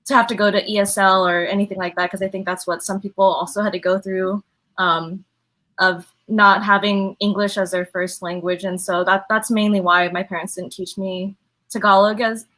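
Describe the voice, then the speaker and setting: A woman from the United States speaking as a panelist in a casual chat, hesitant and pausing often on "um". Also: mid-range voice, level tone, a bit bright. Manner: hesitant, many "um" pauses. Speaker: panelist, engineer.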